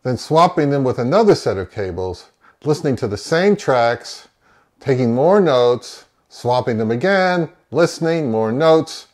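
A man's voice: medium pitch, very animated, a little slow, a little mellow. A man in his fifties giving an opinionated review talk to camera from a home office, speaking in an emphatic, wry way. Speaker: audio review channel host. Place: small home office, indoors.

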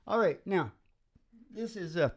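A man's voice: sits high, animated, measured pace, breathy edge. A man in his sixties, a musician hosting a show, chatting casually and ramblingly into a close stand microphone. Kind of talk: casual rambling stage banter. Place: small wood-panelled indoor room. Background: acoustic guitar.

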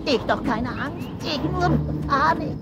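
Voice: high-pitched, measured pace, heavy background noise. A performer voicing a nervous little flying reptile who talks in broken grammar in a children's audio play. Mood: panicky.

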